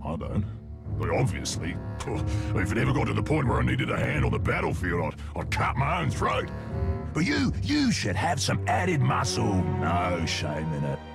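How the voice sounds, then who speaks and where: Hulking game troll henchman, Australian, jovially bloodthirsty; very animated gravel voice. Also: fairly low pitch, full character voice. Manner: jovial, slangy, swaggering. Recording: loud music behind the voice.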